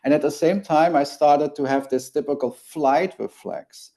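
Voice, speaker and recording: Belgian man, forties, native Dutch speaker, interview guest; earnest, halting, warm and mellow tone. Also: fairly high pitch, vocal fry. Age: 40s. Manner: earnest, halting with frequent restarts. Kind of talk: interview, personal story.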